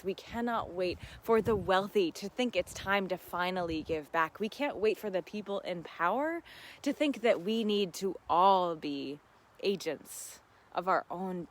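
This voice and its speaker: A woman hosting a casual live Q and A, chatting earnestly and reflectively to listeners as friends, often with laughter in her talk. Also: on the low side. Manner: laughs often while talking. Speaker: host of a live Q and A. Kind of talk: casual livestream chat with reflective asides.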